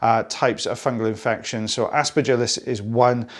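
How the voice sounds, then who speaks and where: A Welsh man talks viewers through an ear-cleaning procedure in a friendly, explanatory manner. His voice sits at a middle pitch, and he turns aside now and then to speak directly to the audience. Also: fairly even intonation, normal speed. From South Wales. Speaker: audiologist presenting ear wax removal. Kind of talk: narrated procedure commentary.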